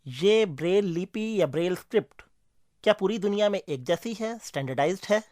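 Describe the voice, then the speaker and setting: Radio interviewer guy, really animated pitch swings, close dry studio sound. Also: normal speed, no echo. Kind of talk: clear radio interview questions.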